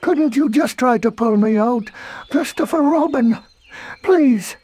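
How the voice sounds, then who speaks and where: Gentle high-voiced storybook bear. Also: male voice. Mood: plaintive. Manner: polite, halting, mildly befuddled. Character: gentle, slow-witted, honey-loving male toy bear.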